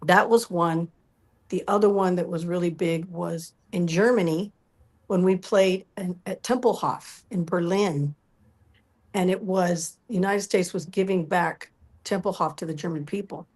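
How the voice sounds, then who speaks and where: A middle-aged woman telling animated personal stories as an interview guest, often acting out what people said. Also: fairly low pitch, a little slow. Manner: acts out quoted dialogue. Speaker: interview guest, musical performer.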